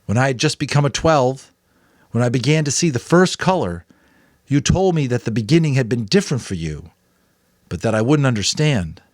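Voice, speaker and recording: A man's voice: medium pitch, expressive, moderate pace, no room sound, clean studio sound, voice pushed up, natural voice. A man voicing an earnest, determined twelve-year-old boy who pleads insistently, in a dramatic audiobook reading.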